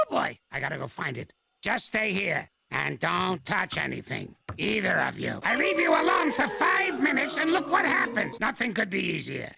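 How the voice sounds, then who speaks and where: An actor playing a grumpy elderly male ape inventor with a gravelly, creaky old voice, gruff and bossy. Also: high voice, background noise, heavily acted. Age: older. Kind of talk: cartoon voice acting. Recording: music playing underneath.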